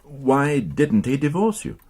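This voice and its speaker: An actor in a radio crime drama playing a dry, weary senior police inspector with a measured, authoritative manner. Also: male voice, medium pitch, very animated, darkish tone, breathy edge, heavily acted. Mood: probing, restrained.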